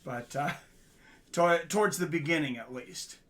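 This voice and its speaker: Male interviewer, bright voice, slightly high, casual, hesitant, agreeable. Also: measured pace. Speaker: interview host.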